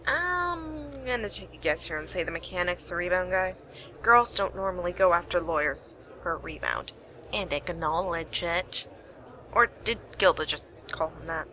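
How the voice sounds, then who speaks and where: A woman's voice: on the low side, lively intonation, normal speed, pushed and bright, a little hiss or hum, poor audio. A woman playing a wry, determined young private investigator in an amateur radio play. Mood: wry, brisk.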